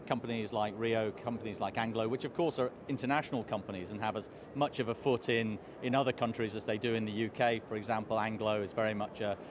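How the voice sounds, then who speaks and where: A hoarse man, a trade commissioner, answering interview questions in a measured way with many fillers. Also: medium pitch. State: hoarse, losing his voice. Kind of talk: live outdoor interview answers. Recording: street noise behind.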